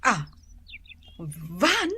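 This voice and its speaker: An actress playing a gossipy, envious woman neighbor in a radio drama, complaining and quick with retorts. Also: high voice, huge pitch swings, bright, forceful tone, slight background noise, full character voice.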